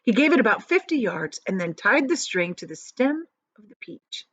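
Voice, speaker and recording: A woman's voice: fairly high pitch, very animated, normal speed, a bit bright, slightly rough, dry recording. A woman narrating a children's story dramatically, like a teacher reading aloud, in a slightly muffled room recording. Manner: dramatic, explains words to young listeners.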